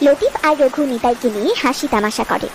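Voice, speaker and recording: A woman's voice: sits high, animated, a little fast, slight background noise, rough recording. A woman narrating a scripted village moral story for a cartoon.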